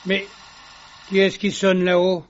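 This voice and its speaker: A man playing a flustered, high-voiced porter. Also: completely flat delivery, slow pace. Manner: flustered, apologetic. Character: flustered male building porter, sleepy. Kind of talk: radio drama acting. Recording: dull recording with faint noise.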